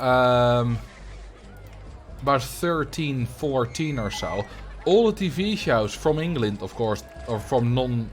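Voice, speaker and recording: A young man with a Dutch accent talks in an easy, rambling way as he streams a park-building game, answering chat with plenty of ums. His voice sits at an average pitch, with soft music running faintly behind him. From Netherlands. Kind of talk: casual livestream chat while building a theme park game.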